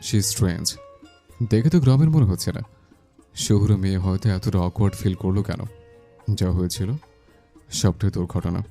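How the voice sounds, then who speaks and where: A man plays an educated young landlord's son in a romantic audio drama. His voice is deep and mellow, with a polite, earnest composure. Faint music sits behind it. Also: normal speed, long pauses. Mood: musing. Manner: respectful, composed.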